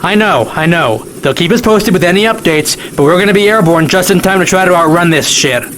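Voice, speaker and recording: A man's voice: high-pitched, expressive, fairly quick, fairly bright tone, slight background noise. A man playing a tense, blunt private-plane pilot in an audio drama, speaking tersely. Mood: frustrated, tense. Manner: terse, radio jargon. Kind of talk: naturalistic audio drama acting.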